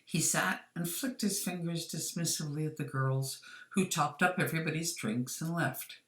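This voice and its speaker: A woman's voice: deep voice, normal speed, a bit bright. Woman reading fiction in a roomy space. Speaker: author reading own story. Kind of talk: scripted audiobook narration.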